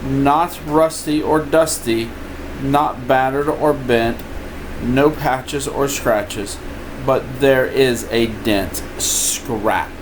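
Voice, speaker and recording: An older man voicing a huge, cold factory inspection machine in a storybook, measured and stern as it pronounces judgement. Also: medium pitch. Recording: steady room noise, air conditioning hum.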